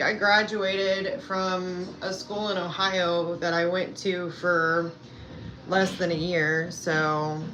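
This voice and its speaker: A woman's voice: lowish voice, vocal fry, poor audio. A woman from Pennsylvania chatting casually with viewers at home, rambling and hesitant with lots of fillers. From Pennsylvania, USA. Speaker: self-care coach and vlogger. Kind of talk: casual live chat answering viewers. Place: at home indoors. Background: background music.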